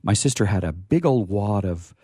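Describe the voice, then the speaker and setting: Male radio host, lively swooping intonation, dry close sound, rambling, playfully theatrical. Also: on the low side, normal speed, husky, no echo. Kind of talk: chatty live radio talk.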